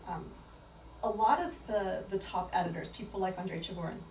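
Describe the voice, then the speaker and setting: A woman's voice: lowish voice, fairly quick, a little mellow. A woman speaking at a book talk, answering an audience question thoughtfully with many fillers and restarts. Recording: echoey room from far off with faint noise.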